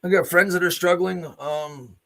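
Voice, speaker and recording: Blunt, bright-voiced man ranting. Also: high voice, fairly even intonation, moderate pace, creaky. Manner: blunt, swearing freely. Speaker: host of a solo news commentary show. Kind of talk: unscripted opinionated monologue.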